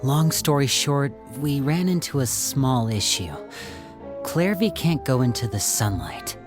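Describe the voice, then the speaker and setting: A performer playing a calm, earnest youth in a video game, measured and telling things like a story. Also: mid-range voice, over music, close to an everyday voice. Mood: concerned.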